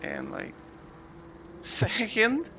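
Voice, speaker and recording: A man co-hosts a sim race stream with a bright, forward voice. His pitch swings widely as he jokes and laughs. A faint hiss runs under his talk. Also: drawn-out words, vocal fry. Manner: playful, laughing, animated. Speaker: co-commentator. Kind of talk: live stream race commentary.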